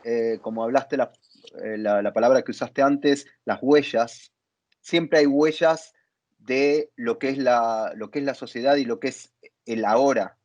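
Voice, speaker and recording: A man hosting a reflective conversation, thinking aloud in deliberate, halting phrases. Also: mid-range voice, steady tone, a little mellow, muffled recording. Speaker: interview host, theatre dramaturg.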